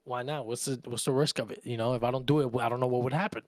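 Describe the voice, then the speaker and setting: A man's voice: medium pitch, steady tone, rapid-fire, dry recording, studio quality. A man in his early twenties, from Argentina and raised in Louisiana, chats casually as a podcast co-host, telling personal stories in slangy, sweary language. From Argentina, raised in Louisiana, USA. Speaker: podcast co-host and content creator.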